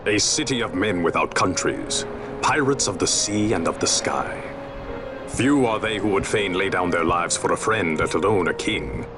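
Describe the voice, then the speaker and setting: An actor playing a worldly, wary adventurer in a fantasy game, cool and eloquent with archaic phrasing. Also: male voice, low voice, animated, moderate pace, music in the background. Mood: wry. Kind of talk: fantasy game cutscene acting.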